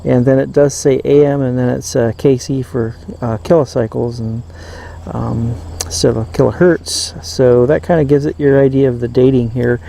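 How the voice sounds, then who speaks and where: A man giving a casual, unscripted demonstration as a reviewer, pausing often and rambling a little as he goes. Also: medium pitch, steady tone, dark tone, rough recording. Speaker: vintage radio reviewer. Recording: clear background noise.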